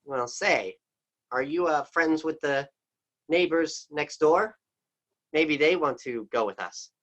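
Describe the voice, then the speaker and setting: A man's voice: a little high, slow, a bit bright, muffled recording. A man voicing a scheming wolf villain who talks casually and hesitantly. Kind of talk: amateur radio play acting.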